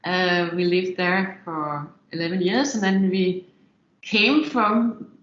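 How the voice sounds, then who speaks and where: A woman's voice: on the low side, slow pace, fairly bright tone. A woman from Denmark, a Danish native and co-author, speaking hesitantly with many fillers. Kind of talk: personal introduction in an interview. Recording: echoey, muffled call with faint noise.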